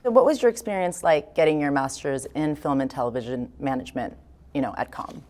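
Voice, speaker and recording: A woman's voice: sits low, brisk, warm tone. A young woman, a student, conducting a friendly conversational interview. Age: young adult. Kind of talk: interview questions.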